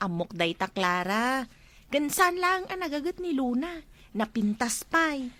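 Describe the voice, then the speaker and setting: A woman's voice: high-pitched, sing-song, unhurried, bright, forceful tone, heavily acted. A woman playing an inquisitive, easily annoyed village customer in a comedy drama, with an Ilocano accent. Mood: curious.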